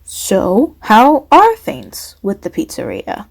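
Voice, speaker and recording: A woman's voice: on the high side, huge pitch swings, takes it slowly, vocal fry, darkish tone, exaggerated voice. An actress playing a friendly young woman who apologizes for her father.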